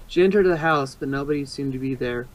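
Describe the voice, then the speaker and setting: A man's voice: medium pitch, fast talker, poor audio. A man reading fan fiction aloud as an amateur narrator, sometimes stumbling and rereading phrases.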